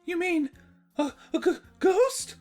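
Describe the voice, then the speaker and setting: Casting: woman to play excitable kid dragon boy for a pony cartoon, squeaky-high, panicky and frantic. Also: slow, faint music behind, exaggerated voice. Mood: panicked. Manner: frantic, blurting.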